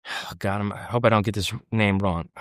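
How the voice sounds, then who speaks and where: A man's voice: lowish voice, fairly even intonation, a little fast, fairly bright tone, no room sound, studio quality. A man from the United States speaking as an interview guest, earnest and emphatic, with frequent restarts. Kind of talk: conversational interview.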